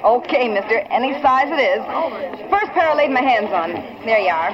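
Curt, slangy female racketeer played by a woman, fairly high voice, dull vintage radio drama recording. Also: animated, normal speed, close to an everyday voice. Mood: brassy, impatient. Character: brassy, impatient shopgirl in a swindle racket. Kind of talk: 1940s radio acting. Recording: muffled old radio recording, crowd murmur.

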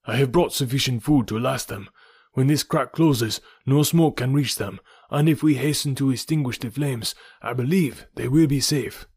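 A man's voice: medium pitch, moderate pace, a little mellow, husky, no echo, clean studio sound, voice pushed up. Zodar, a man voicing a solemn loyal warrior. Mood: urgent. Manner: solemn, formal archaic speech. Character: grave male ally, urgent loyal warrior.

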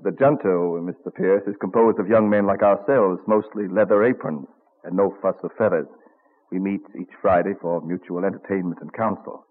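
An actor playing a genial, philosophical colonial inventor and printer in a courtly, earnest radio drama manner. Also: male voice, sits low, normal speed. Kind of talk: old-time radio drama acting. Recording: muffled narrow-band vintage radio recording.

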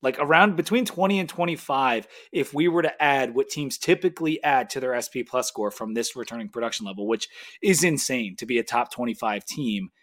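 Male podcast host, mid-range voice, keen and chatty sports talk in a relaxed conversational style. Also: medium pitch, fairly quick.

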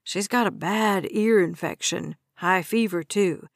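A cocky grown man, as a woman narrator puts him on, speaking low and soothing with put-on sympathy. He sounds warm on the surface and manipulative underneath, in a dry, close audiobook read. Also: unhurried, gravelly, no room sound. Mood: apologetic.

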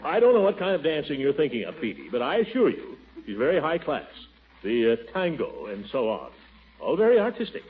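An actor playing a pompous, blustering middle-aged bachelor in 1940s radio acting, on a tinny old broadcast. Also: male voice, medium pitch, huge pitch swings, a faint crowd, a little hiss or hum. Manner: blustery, pompous, quick to take offense.

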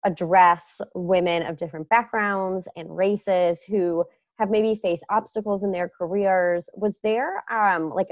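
A woman's voice: on the low side, dry recording. A woman interviewer asking questions in a friendly, conversational way. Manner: friendly, quick affirmations.

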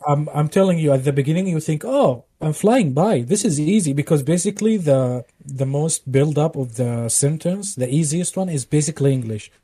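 Mellow-voiced guest man chatting. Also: on the high side, normal speed, hardly any pauses, husky, no room sound. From Belgium. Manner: relaxed, laughs along, speaks fluent second-language English. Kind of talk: casual podcast chat.

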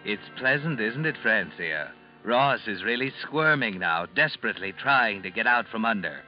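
Muffled old radio recording, faint music, sardonic male narrator, acted, lowish voice, insinuating, ominous. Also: lively intonation, normal speed, natural voice. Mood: gloating, ominous. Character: sardonic, taunting male narrator addressing the heroine.

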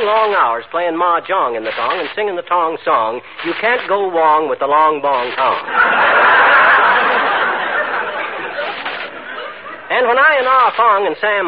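Cocky folksy american husband, highish voice all over the place, old radio comedy. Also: male voice. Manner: folksy, glib patter. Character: brash, boastful, wisecracking husband spinning tall tales. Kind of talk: 1940s radio comedy acting. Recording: muffled, very noisy old radio recording.

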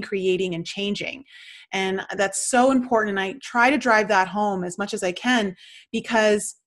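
A woman with a mid-pitched voice speaks as the host of a podcast interview. She affirms and stresses her points in an easy conversational style. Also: fairly bright tone. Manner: emphatic, affirming. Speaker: podcast host, tarot reader.

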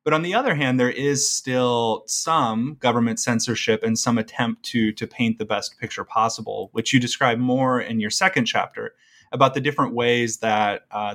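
A man's voice: on the low side, a bit bright. A man hosting a conversational book interview, framing his points thoughtfully and at length, repeating words as he goes. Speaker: podcast interviewer.

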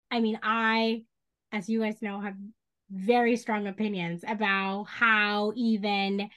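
Emphatic, high-voiced woman guest. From Dominican Republic and New York City. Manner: animated, emphatic, thinks aloud with many asides. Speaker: guest, clinical social worker. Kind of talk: podcast conversation.

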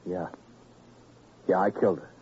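Casting: male actor as tired betrayed husband who has killed, lowish voice, dazed, faintly noisy muffled radio recording. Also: steady tone, moderate pace, dark tone, natural voice. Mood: bitter, dazed. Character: weary, jilted husband turned killer. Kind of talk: 1950s radio crime drama acting.

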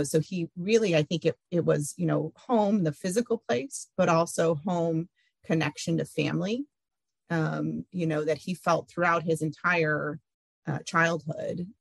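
A middle-aged woman being interviewed, talking hesitantly with many pauses and fillers. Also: deep voice, creaky, slightly rough, no room sound. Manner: hesitant, many ums and restarts. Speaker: interview guest. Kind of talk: casual interview, recalling family history.

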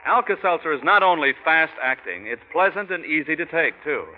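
Lively American radio pitchman, tinny vintage sound. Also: fairly quick. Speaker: radio announcer. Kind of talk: 1940s radio advertising pitch.

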